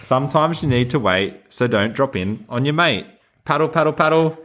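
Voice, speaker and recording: A man's voice: mid-range voice, animated, moderate pace, fairly bright tone. An Australian man who hosts a podcast, talking casually and reading a children's storybook in a playful, encouraging way. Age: adult. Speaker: podcast host.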